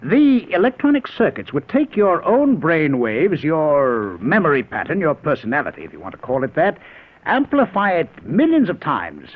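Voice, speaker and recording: Excitable male inventor-professor for vintage radio drama: higher-set, swooping voice, eager lecturing manner, tinny old broadcast sound. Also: moderate pace. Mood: earnest, explaining. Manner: eager, explanatory, theatrical. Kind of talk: 1950s radio drama acting.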